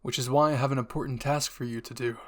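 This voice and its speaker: A man voicing a composed, authoritative military commander in a story, with a serious, deliberate manner. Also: medium pitch, steady tone, fairly bright tone, lightly acted. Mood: calm command.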